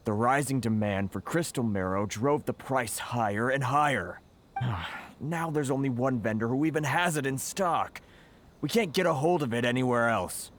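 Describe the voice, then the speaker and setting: An actor playing a weary, courteous and aggrieved merchant in a video game, articulate and full of complaint. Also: male voice, mid-range voice, normal speed, close to an everyday voice. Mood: frustrated. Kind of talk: video game cutscene acting.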